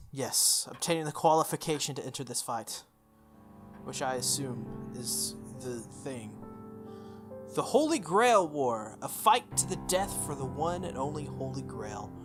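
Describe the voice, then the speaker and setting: A let's play host reading a visual novel aloud, breaking off into casual, jokey asides. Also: mid-range voice. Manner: jokey, self-interrupting asides.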